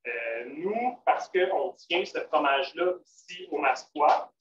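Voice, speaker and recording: A man's voice: a little high, very animated, slow. Québécois man demoing vegan cooking, from afar. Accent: Québécois French. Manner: casual, many fillers and restarts. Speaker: cook at a vegan restaurant. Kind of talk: live cooking demonstration. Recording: roomy, distant, dull, faint noise.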